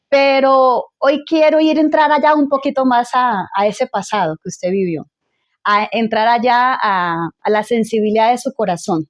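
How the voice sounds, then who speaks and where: High-voiced effusive woman pastor host. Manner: effusive, restarts and repeats words. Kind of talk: church talk show interview.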